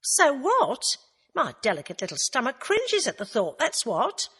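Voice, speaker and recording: A woman's voice: huge pitch swings, measured pace, a bit bright, husky, heavily acted. A woman voicing a camp, flamboyant middle-aged man who chatters and tells groan-worthy jokes. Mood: teasing, camp. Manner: flamboyant, teasing, high-flown.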